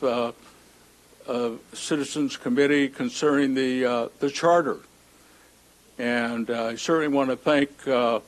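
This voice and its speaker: A man's voice: medium pitch, rough recording. A man speaking during public comment at a city council meeting, hesitant with frequent fillers.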